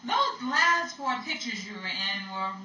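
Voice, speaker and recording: Woman playing a high-pitched, flighty 1940s Hollywood starlet. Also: animated, measured pace, bright, forceful tone, distant mic, exaggerated voice. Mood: breezy. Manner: theatrical, exclamatory. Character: flighty, haughty young Hollywood starlet, cheerfully overconfident. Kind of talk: 1940s old time radio comedy acting. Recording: echoey room, away from mic.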